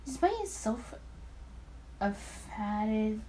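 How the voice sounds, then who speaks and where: A woman's voice: mid-range voice, sing-song, extremely slow, mellow, a little hiss or hum. A woman reading the first-person thoughts of an anxious, smitten young male detective in a visual novel. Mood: hesitant, tender.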